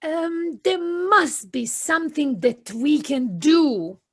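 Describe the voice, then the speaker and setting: Woman as a timid, high-voiced little mole. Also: expressive, a bit bright, full character voice. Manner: hesitant, halting. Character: timid, gentle, anxious little male mole. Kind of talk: amateur audio play acting.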